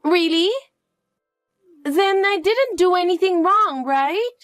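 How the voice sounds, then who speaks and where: A woman's voice: very high voice, expressive, slow pace, a bit bright, no echo, clean studio sound, faint music behind, voice pushed up, exaggerated voice. A woman voicing an anxious, clingy young girl who pleads and asks question after question in a dramatized story. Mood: hopeful.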